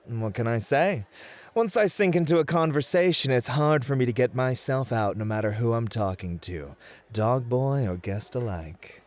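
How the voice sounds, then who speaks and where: A man playing a lively, sassy bunny-boy host. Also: medium pitch, moderate pace, natural voice. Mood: sassy, teasing.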